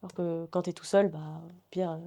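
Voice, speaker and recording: Mellow young woman, relaxed and hesitant. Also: fairly low pitch, fairly quick, creaky. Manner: relaxed, hesitant, many fillers. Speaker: singer-songwriter guest. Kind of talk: casual interview chat.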